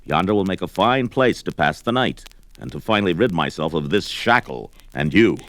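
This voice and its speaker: An actor playing a gruff, domineering barbarian hero, bold and commanding, declaiming in archaic 1970s fantasy audio drama style. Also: male voice, deep voice, expressive, normal speed, fairly bright tone, dry recording. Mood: gruff, scornful. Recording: music behind the voice.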